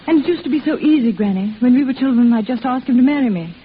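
A woman's voice: high voice, animated, fast. An actress playing an eager, lovestruck young woman in a 1940s radio drama, breathless and earnest. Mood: earnest, yearning. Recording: tinny, noisy old radio recording.

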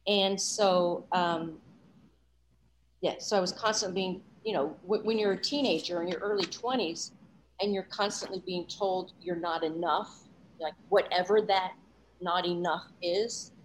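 A woman's voice: fairly low pitch, a little slow, slight background noise, rough recording. Earnest woman guest reflecting candidly. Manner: earnest, reflective, many "you know" asides. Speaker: voice-over coach and guest. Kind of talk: candid interview talk.

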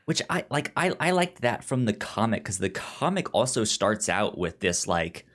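A man's voice: fairly low pitch, big pitch swings, moderate pace, a bit bright. A man speaking as a podcast co-host in casual discussion, often stuttering and repeating sounds and words as he goes. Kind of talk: casual discussion of comics and film.

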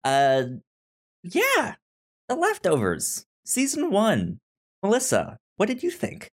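Halting man with an animated pitch range. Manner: halting, stammers on words and sounds. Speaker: podcast host. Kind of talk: casual podcast discussion.

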